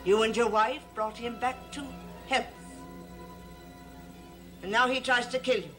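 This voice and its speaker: An older woman playing a secretive, sinister servant in a gothic soap opera, deliberate and insinuating. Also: a little high, fairly bright tone, soft background music. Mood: ominous, insinuating. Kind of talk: 1960s gothic TV soap acting. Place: TV studio library set.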